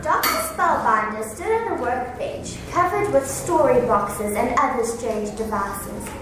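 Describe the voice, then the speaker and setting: High-pitched woman narrating in an echoey room. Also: animated, unhurried. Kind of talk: scripted story narration, readers theatre. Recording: very echoey room, distant mic, noisy.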